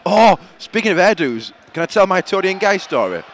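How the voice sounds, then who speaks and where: A young Yorkshire man co-hosts a fan podcast and tells stories in a chatty, giggly way. His pitch rises and falls a lot and sits a little high. Faint stadium noise hangs in the background. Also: very animated, a little fast. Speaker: podcast co-host. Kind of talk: casual banter. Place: outdoors in football stadium stands.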